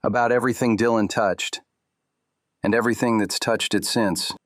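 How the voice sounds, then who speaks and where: Low-voiced, guarded man played by an actor. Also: steady tone, dry recording, studio quality, lightly acted. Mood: ominous warning. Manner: terse, measured, ominous. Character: guarded, weary man warning two investigators. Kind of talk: noir audio drama acting.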